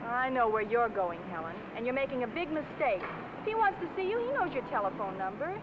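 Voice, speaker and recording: High, animated, bossy American girlfriend-confidante. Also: brisk. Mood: disapproving. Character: bossy, chatty, disapproving woman friend. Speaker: film actress. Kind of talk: 1950s crime film dialogue. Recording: noisy old 1950 film soundtrack.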